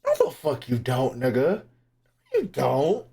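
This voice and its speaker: A man's voice: medium pitch, huge pitch swings, slow pace, creaky, mellow, breathy edge. A young man giving casual live-stream commentary on a game, brash, slangy and profane, often repeating himself.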